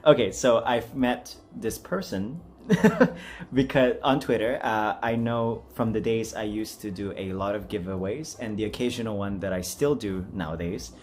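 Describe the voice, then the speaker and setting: A man's voice: on the low side, measured pace, a little mellow, a little hiss or hum. A man hosting a casual voice acting challenge, chatty, giggly and self-deprecating. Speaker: amateur voice acting challenge host.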